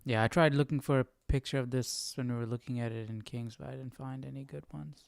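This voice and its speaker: A man's voice: medium pitch, fairly even intonation, quick pace, gravelly, no room sound, studio quality. A man hosting a Bible study livestream, giving casual, off-the-cuff reactions. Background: faint background music.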